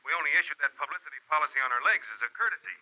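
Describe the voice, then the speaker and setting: High-pitched, fretful insurance executive on telephone. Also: male voice, lively intonation, racing. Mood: alarmed. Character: fretful, businesslike male insurance company man. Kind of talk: 1940s-50s radio drama acting. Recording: muffled telephone sound on old radio.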